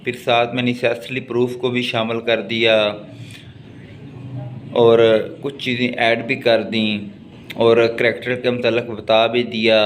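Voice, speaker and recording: Slow-paced Pakistani man lecturing over background noise. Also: mid-range voice. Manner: methodical, switches between explaining and reading out. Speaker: advocate giving a law lecture. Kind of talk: lecture, reading notes aloud and explaining them. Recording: clearly noisy background.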